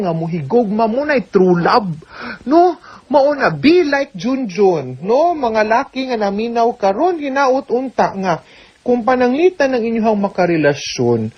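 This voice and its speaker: A woman's voice: a little high, husky, dull audio. A woman with a Cebuano Filipino accent hosting a radio advice show as an attorney, chattily answering a listener's letter and mixing in English with frequent tag questions.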